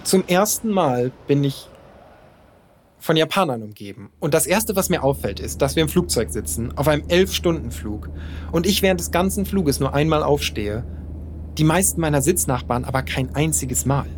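A man's voice: a little high, animated, moderate pace, slight background noise. Candid German man telling his story. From Lower Saxony, Germany. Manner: candid, self-deprecating storytelling. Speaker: podcast narrator. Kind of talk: personal storytelling.

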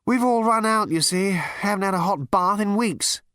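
Amiable, ineffectual male captain, voiced by a man, raised pitch, vague and conciliatory, storybook comedy. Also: normal speed, fairly bright tone, no room sound, studio quality, natural voice. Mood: mildly regretful. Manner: pleasant, conciliatory, dithering.

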